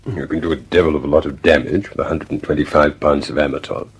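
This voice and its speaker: A man's voice: rumbling low, steady tone, fast talker, slightly rough, exaggerated voice. An actor playing a blunt, sceptical British man questioning his colleague in a radio drama. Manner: questioning, plain-spoken.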